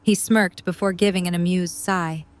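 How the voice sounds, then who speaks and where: A woman's voice: lowish voice, fairly even intonation, moderate pace. A woman reading scripted story narration as the narrator of an audio drama.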